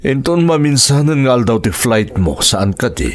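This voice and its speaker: A man's voice: medium pitch, sing-song, moderate pace, natural voice. A man with an Ilocano accent acting expressively in a family radio drama. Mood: questioning. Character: male characters in a family and romance drama.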